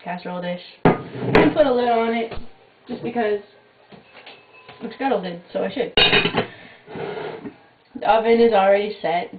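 A woman's voice: mid-range voice, moderate pace, pauses often. Young woman, wry home cooking host, tinny echoey camcorder sound with hiss. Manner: wry, self-mocking, offhand asides. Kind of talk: casual jokey cooking demo. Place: small home kitchen at night. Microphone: fixed camera mic across the kitchen.

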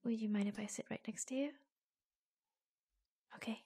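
A woman playing an attentive, affectionate office assistant in a soft-spoken ASMR roleplay, breathy and hushed, with gentle hesitant pauses, close to the microphone. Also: on the high side, fairly even intonation. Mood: intimate, caring.

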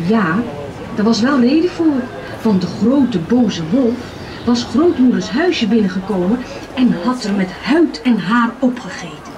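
A woman telling scripted fairy tales in an expressive, drawn-out way, heard as recorded narration played in a park. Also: on the high side, crowd noise. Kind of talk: scripted fairy tale storytelling, partly sung. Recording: distant park loudspeaker playback, loud outdoor ambience. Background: outdoor forest park ambience.

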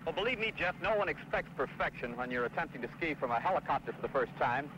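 A middle-aged man hosting a 1950s TV show, speaking in a brisk, upbeat announcer style outdoors by a harbor. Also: a little high, animated. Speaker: TV host. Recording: dull 1950s TV audio with outdoor noise.

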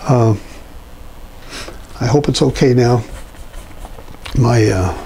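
An older man with a raspy, mellow voice walks through a painting step by step. He speaks in an unhurried, halting way, often pausing on fillers. He is recorded in an indoor studio, talking while he works. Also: mid-range voice, a little hiss or hum, low-quality recording. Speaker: painting instructor.

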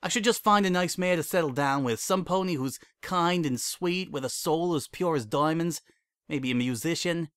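A man voicing a heartbroken young female pony who speaks in a cold, flat tone that breaks into sobbing, in a dry recording. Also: a little high, moderate pace, clean tone, no room sound, voice pushed up, close to an everyday voice. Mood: flat, bitter.

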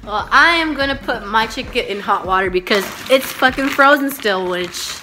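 A woman's voice: on the high side, animated, normal speed, background noise. A young woman with a head cold, sounding nasal, chatting casually and swearing freely into a phone held at arm's length at home. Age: young adult. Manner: casual and swearing, mixes in Spanish. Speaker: vlogger. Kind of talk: casual vlog chat. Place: home living room, then a car at night.

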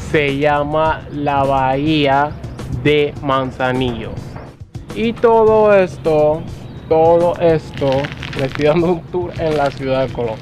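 Halting young man, slow pace. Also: a little high. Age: young adult. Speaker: vlogger. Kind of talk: casual vlog presenting to camera. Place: outdoors on a rooftop above a city.

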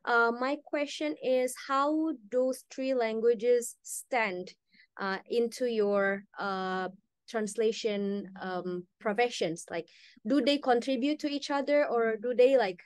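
Female moderator, mid pitch, hesitant with fillers, dry close video-call sound. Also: dry recording. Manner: hesitant, fills pauses with uh and like. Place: indoors.

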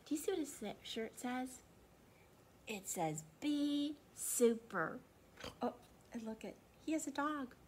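A woman's voice: fairly high pitch, lively intonation, normal speed, breathy edge. A woman in her sixties reading aloud to children at storytime, expressively, putting questions to them as she goes. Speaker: children's librarian storytime host. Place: small indoor room.

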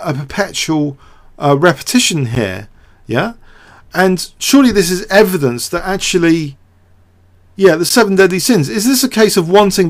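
A man giving off-the-cuff game commentary as a show host, hesitant and thinking aloud with repeated words. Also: sits high.

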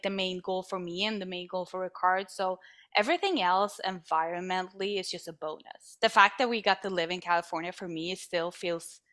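Chatty Swedish-accented young woman. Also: lowish voice. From northern Sweden. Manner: animated, laughs often, acts out quoted voices. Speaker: podcast guest. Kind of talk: casual interview chat.

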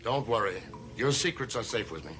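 An older man playing a grave, reassuring grandfather in a gothic soap opera, speaking in a measured, theatrical way. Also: lowish voice, expressive, a bit bright, a little hiss or hum. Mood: reassuring, wry. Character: grave, reassuring old grandfather of a cursed family. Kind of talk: 1960s gothic TV soap acting. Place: TV studio set of an old house.

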